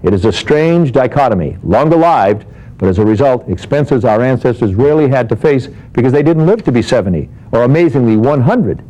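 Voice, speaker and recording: An older man giving scripted financial advice in a formal, measured manner, as an expert presenter. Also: medium pitch, expressive, dark tone, poor audio. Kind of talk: scripted advisory monologue.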